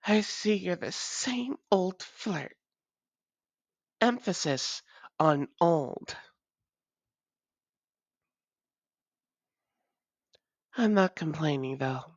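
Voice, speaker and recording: Woman acting a low-voiced, unhurried, doting female vampire. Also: lively intonation, dry recording, full character voice. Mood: flustered, tender. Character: centuries-weary female vampire, doting, wry, long-lost lover. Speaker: audio roleplay voice actor. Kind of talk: intimate audio roleplay acting.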